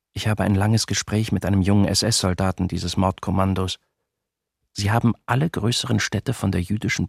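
An actor playing a German soldier giving a sober, shaken, measured account in a documentary radio play. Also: male voice, low voice, vocal fry, husky, dry recording, studio quality, heavily acted.

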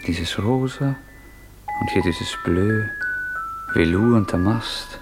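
An actor playing a brooding, feverish young murderer in a German radio play, halting and introspective, drifting into whispers. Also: male voice, lowish voice, over loud music. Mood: dreamy, relieved.

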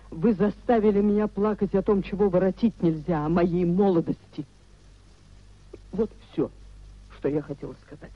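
Actress as dignified, reproachful wife, muffled old recording. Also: female voice, lowish voice, no room sound, close to an everyday voice. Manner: measured, declamatory, solemn. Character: proud, wounded wife of an official, reproachful, dignified. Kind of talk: 1950s theatrical radio drama acting. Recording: dull 1950s radio recording.

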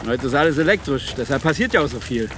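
An actor playing a brusque, dismissive official in a radio drama, matter-of-fact and condescending. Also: male voice, mid-range voice, animated, fast talker, noisy background, lightly acted. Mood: sceptical. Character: brusque, dismissive male official at a fire scene. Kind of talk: German radio drama acting.

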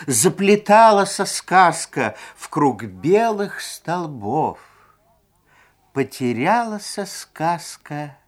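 Male storyteller, children's fairy tale, theatrical emphasis, slow deliberate pacing, swooping intonation. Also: fairly high pitch.

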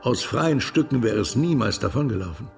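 A man's voice: medium pitch, lively intonation, normal speed, gravelly, faint music behind. An actor playing a Russian émigré ex-cavalryman who reminisces animatedly in a German radio drama. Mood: grave recollection.

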